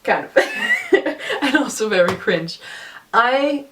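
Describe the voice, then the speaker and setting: A young woman with a deep voice for a woman, talking casually and rambling as she reacts to her old vlog. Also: takes it slowly, far from the mic, a little hiss or hum. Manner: relaxed, rambling, many fillers.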